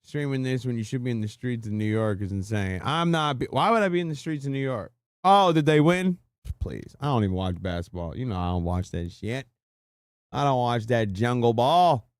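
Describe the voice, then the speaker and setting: A man's voice: mid-range voice, expressive, moderate pace, clean tone, dry recording. A male streamer talks over a video in loose, crude banter, joking and often laughing. His voice sits in an ordinary middle range. The sound is dry and close, with no room around it. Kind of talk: casual reaction chat.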